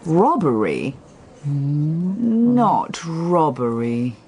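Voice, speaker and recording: An inquisitive sidekick in a detective radio drama. She takes her time, and her pitch moves a lot. She sounds arch, blunt and easily bored as she probes. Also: very animated, drawn-out words, a little mellow, heavily acted. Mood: arch, speculative.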